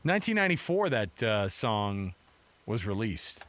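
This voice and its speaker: A man's voice: lowish voice, very animated, normal speed, fairly bright tone. A middle-aged American man hosting sports radio in a casual, chatty, rambling way from a studio mic. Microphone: close studio microphone.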